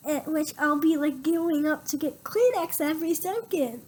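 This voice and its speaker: A girl with a cough and a stuffy nose, explaining her home remedies in a halting way, full of ums and restarts. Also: sits high, lively intonation, darkish tone. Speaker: young guest.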